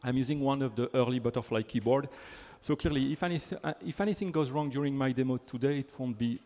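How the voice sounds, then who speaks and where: A man's voice: mid-range voice, fast talker, darkish tone. French-accented man presenting in a hall. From Belgium. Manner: chatty, self-deprecating, frequent fillers and restarts. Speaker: conference speaker. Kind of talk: technical conference talk. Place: large conference hall. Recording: hall with long reverb.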